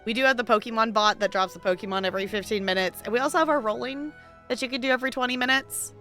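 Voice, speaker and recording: Chatty, bright-voiced woman streamer. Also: fairly high pitch, very fast, soft background music. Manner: chatty and rambling, laughs easily, talks to chat. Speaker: game streamer. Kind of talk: casual live-stream chat while gaming.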